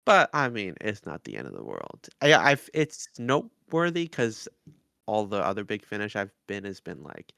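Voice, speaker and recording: A man's voice: lowish voice, huge pitch swings, normal speed, vocal fry, bright, forceful tone, dry recording, studio quality. A man co-hosting a review podcast in a casual, conversational style. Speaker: podcast host.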